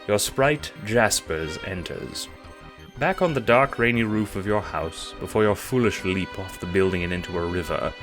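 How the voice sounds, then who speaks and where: Low-voiced man narrating over music. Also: a bit bright. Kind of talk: scripted second-person audiobook narration.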